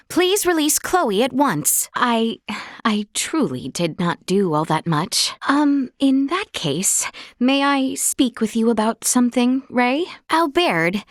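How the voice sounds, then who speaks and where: An actress playing an earnest, gracious young princess in a fantasy video game, with a clear youthful voice and formal, measured, archaic courtly phrasing. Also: female voice, a little high, dry recording, clean studio sound. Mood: gentle, hesitant. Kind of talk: scripted video game voice acting. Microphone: close studio mic, dry.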